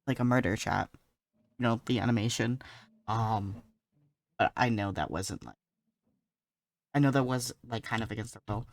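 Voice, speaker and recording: A woman's voice: a bass voice, moderate pace, creaky, long pauses. A woman streaming a game, chatting to her audience in a rambling, slangy, giggly way as she reacts. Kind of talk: casual live reaction commentary to chat.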